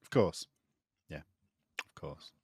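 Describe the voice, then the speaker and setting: A man's voice: takes it slowly, a little mellow, no echo, studio quality. A man hosting a casual interview, asking questions in a conversational way. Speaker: interviewer, host.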